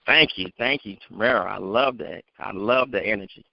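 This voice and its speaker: A man's voice: lowish voice, moderate pace, creaky, dry recording. A man giving an unscripted motivational talk on a conference call, addressing listeners directly and emphatically. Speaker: motivational speaker and author. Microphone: phone conference line. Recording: dry, dull lo-fi conference call.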